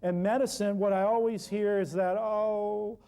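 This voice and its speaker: A man's voice: high voice, steady tone, measured pace, warm tone, clean tone. A middle-aged man teaching a natural healing seminar in an emphatic, preachy style with short punchy phrases. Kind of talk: seminar lecture.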